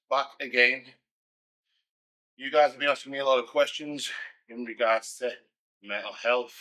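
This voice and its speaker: A man's voice: medium pitch, sharp tone, low-quality recording. A man with a Scouse accent, a pro bodybuilder answering viewer questions in a blunt, casual monologue. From Liverpool, England. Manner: blunt, conversational, frequent "you know" and restarts.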